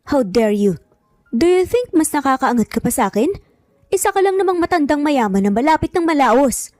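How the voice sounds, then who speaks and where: A snobbish, hot-tempered businesswoman, put on by a woman narrator in an audio romance story. Her voice sits fairly high and she scolds with haughty contempt, slipping into English. The recording is dry and close. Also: sing-song, moderate pace, darkish tone, dry recording, faint music behind. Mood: haughty anger. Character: arrogant, furious rich young businesswoman, snobbish and shrill-tempered.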